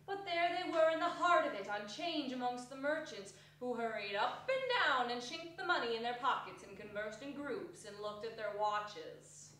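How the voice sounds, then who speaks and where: Female stage narrator, fairly high voice, solemn storybook delivery, distant from the microphone. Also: animated, moderate pace, hardly any pauses, a bit bright. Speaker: narrator in a dramatic reading. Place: theatre hall stage reading. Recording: echoey hall, distant mic, some noise.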